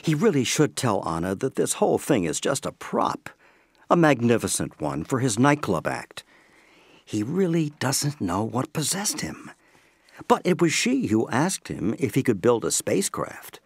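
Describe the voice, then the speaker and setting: A man narrating an audio comedy from a script, with deliberate, theatrical storytelling phrasing. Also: medium pitch, wildly swooping pitch, husky, dry recording, studio quality.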